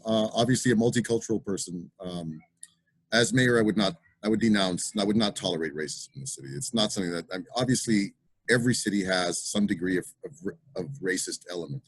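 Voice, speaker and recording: Candidate, man, deep-ish husky voice, relaxed and rambling, dry video-call sound. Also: no echo. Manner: easygoing, rambling. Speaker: mayoral candidate. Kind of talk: forum answer over video call.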